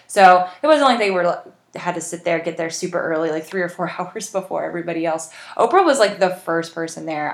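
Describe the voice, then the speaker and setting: Chatty woman podcaster in an echoey room. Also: lowish voice. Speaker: podcast host. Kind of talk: casual chatty celebrity news recap.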